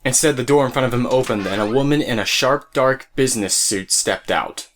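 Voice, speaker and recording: A man's voice: medium pitch, fairly quick. A man narrating a scripted audio drama as a storyteller who speaks straight to the listener. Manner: storyteller addressing listeners directly.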